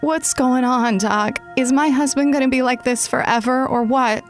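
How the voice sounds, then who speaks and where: A woman's voice: high-pitched, normal speed, soft background music, close to an everyday voice. A woman playing a worried, plain-spoken wife, informal and anxious, in a sci-fi horror drama. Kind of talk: sci-fi horror motion comic acting.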